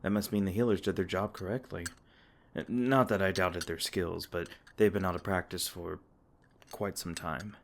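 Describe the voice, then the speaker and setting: A man voicing a gentle, protective male fae king in an ASMR comfort roleplay, soft-spoken and tender, stumbling over his words now and then. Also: low voice, fairly even intonation, moderate pace, gravelly. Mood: tender, soothing.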